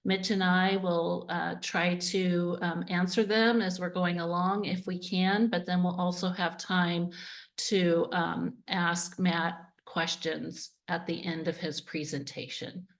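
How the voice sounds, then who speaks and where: A woman's voice: fairly low pitch, flat delivery, takes it slowly. A woman hosting a webinar on a video call, enthusiastic and talking with many fillers. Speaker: event host. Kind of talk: webinar introduction.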